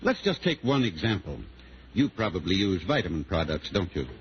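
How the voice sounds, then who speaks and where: An actor playing a folksy, reassuring druggist in a 1940s radio advert. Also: male voice, low voice, big pitch swings, normal speed. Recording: muffled 1940s radio, faint hiss.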